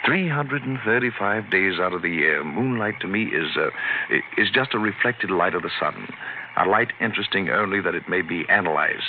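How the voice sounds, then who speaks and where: A man's voice: deep voice, lively intonation, moderate pace. An actor playing an affectionate, teasing male astronomy professor in a vintage radio drama, mock-pompous and playful. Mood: teasing, romantic. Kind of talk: 1970s radio drama acting. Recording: tinny old radio broadcast with hiss.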